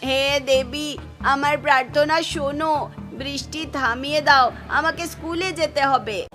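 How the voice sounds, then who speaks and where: A woman's voice: high voice, a little slow, fairly bright tone, faint music behind. A woman voicing an earnest, pleading young schoolboy from a poor family in a cartoon. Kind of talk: cartoon voice acting.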